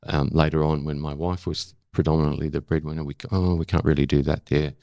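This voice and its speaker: Very deep, gravelly older Australian man. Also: flat delivery, brisk, warm tone, dry recording. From South Australia. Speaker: interview guest, former broadcaster. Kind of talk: reflective conversational interview.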